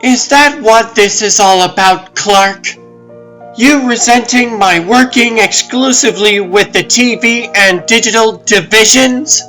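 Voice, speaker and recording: A man's voice: sits high, a little slow, bright, forceful tone, faint music behind. A man voicing a confident, ambitious woman reporter in a comic book dub, with an insistent, emphatic delivery. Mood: persuasive. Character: confident, ambitious adult woman reporter arguing her case.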